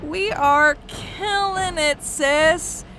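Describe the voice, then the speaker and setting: A woman playing an excitable, giddy young goddess in an audio drama, gushing in casual slang. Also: sits high, drawn-out words, soft background music, heavily acted. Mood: excited.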